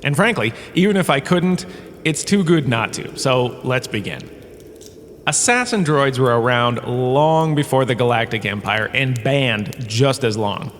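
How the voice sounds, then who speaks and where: A man's voice: mid-range voice, lively intonation, measured pace, fairly bright tone. Man playing a pompous professor in a reverberant cave. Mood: amused, lecturing. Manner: dramatic, self-amused, breezily dismissive. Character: pompous, washed-up holodrama star turned cowardly, flippant professor. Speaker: lecturer in a fictional audio drama. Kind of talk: comic theatrical lecture narration. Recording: long cave reverb, background ambience noise.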